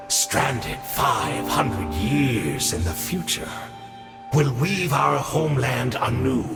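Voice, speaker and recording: A gravelly, grandiose exiled villain. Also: male voice, medium pitch, exaggerated voice. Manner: theatrical, polished menace. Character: scheming male exile villain, grandiose and smug. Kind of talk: video game dialogue. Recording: echoey reverb with game music.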